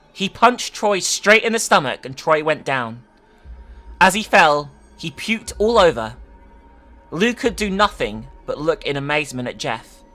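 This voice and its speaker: A narrator giving a dramatic reading of a horror story, expressive, with sweary, incredulous asides. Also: mid-range voice. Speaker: narrator and commentator.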